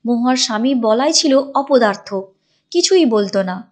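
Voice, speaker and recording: High-pitched woman narrating a cartoon story. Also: animated, moderate pace. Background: clear background music.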